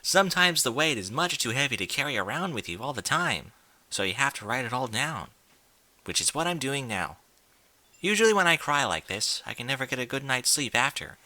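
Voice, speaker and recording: Forceful, bright-voiced man with lively pitch. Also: fairly quick. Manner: blunt, incredulous, informal. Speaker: fan fiction reader and commentator. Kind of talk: reading a story aloud with casual mocking asides.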